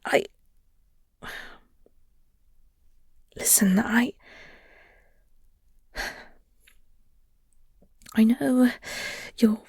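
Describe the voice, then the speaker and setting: Breathy, gentle vampire flirt played by a woman. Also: fairly high pitch, no echo, exaggerated voice. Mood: hesitant, earnest. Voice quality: breathy, husky. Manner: halting, teasing, tender. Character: flirty, gentle vampire nemesis comforting a heartbroken human. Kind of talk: intimate audio roleplay acting, half-whispered.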